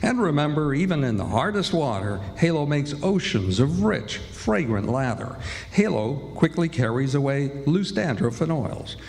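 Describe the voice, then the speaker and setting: A man's voice: a little high, very animated, moderate pace, vocal fry, a little mellow. A man announcing in old-time radio style, with a polished, salesmanlike delivery. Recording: live hall, faint music behind.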